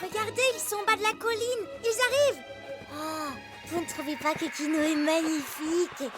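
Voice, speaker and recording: A woman voicing a sweet, curious young girl animal cub in a cartoon, lively and chirpy. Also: extremely high pitch, normal speed. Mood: cheerful. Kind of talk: animated series dub. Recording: loud cartoon music behind.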